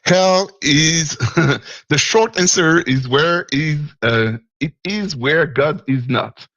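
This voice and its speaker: Guy calling in, raspy fry in his voice, lots of ums, stops to find the right english word. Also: a little high, slow pace, a bit bright, dry recording, lo-fi sound. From Martinique. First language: French. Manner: hesitant, searching for words, chuckles often. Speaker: caller. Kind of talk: call-in discussion.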